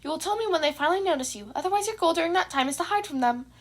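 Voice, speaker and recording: A woman's voice: very high voice, expressive, racing, voice pushed up. A woman voicing a small, chipper male chimera principal who is reassuring and scheming, in a fan fiction audiobook. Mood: cheerful, reassuring. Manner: chirpy, reassuring.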